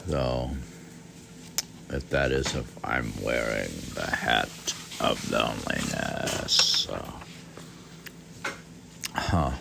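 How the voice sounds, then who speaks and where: An older man with a very low voice reads aloud and reflects on it as he goes. His delivery is slow and halting, musing to himself in rambling asides. There is faint noise behind him. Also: animated, vocal fry. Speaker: podcast host reading aloud and commenting. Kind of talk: book reading with rambling, reflective personal commentary.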